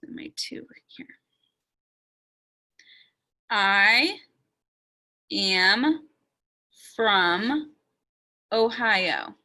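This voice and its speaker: Slow, bright-voiced woman teaching children. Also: medium pitch, expressive, rough recording. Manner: sounds out words slowly, stretching letter sounds. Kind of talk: slow, step-by-step writing lesson for young children.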